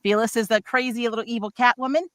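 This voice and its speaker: A middle-aged woman with a bright, forward voice, recorded close and dry. She talks casually as a voice actor on a livestream panel, candid and informal, poking fun at herself. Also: fairly high pitch, steady tone, fast talker, dry recording. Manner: candid, self-deprecating humor; informal slangy phrasing.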